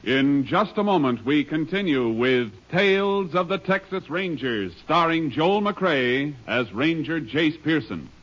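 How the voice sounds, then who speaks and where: Forceful, bright American radio announcer man. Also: fairly high pitch, lively intonation, a little slow, few pauses, lo-fi sound, soft background music. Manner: emphatic, formal. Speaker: program announcer. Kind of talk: formal 1950s radio announcing.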